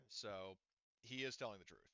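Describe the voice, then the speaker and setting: A man guides players through a tabletop game in loose, halting speech full of restarts. His voice is bright and smooth, recorded dry and up close on somewhat dull, lo-fi audio. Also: fairly low pitch, dry recording. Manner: halting, many restarts and fillers. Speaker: game master. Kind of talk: casual tabletop game narration.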